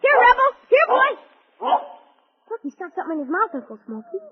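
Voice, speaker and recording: A woman's voice: very high-pitched, very animated, fairly quick. A woman playing an eager, wide-eyed young ranch boy in a vintage radio serial. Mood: eager, curious. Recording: muffled noisy old radio recording.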